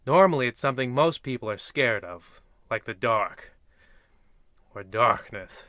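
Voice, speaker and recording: Man playing a bright-voiced, ominous radio horror host. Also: medium pitch, animated, measured pace, dry recording, clean studio sound. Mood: mock ominous. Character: hammy, eerie male horror anthology narrator, faintly dim. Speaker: narrator and host. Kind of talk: parody of old-time radio horror hosting.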